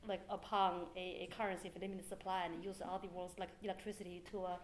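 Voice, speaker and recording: Halting woman panelist in an echoey room. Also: lowish voice, little pitch movement, slight background noise. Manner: halting, heavy with "like" and "uh" fillers. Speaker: hedge fund manager on a panel. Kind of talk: unscripted panel discussion. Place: event hall with audience.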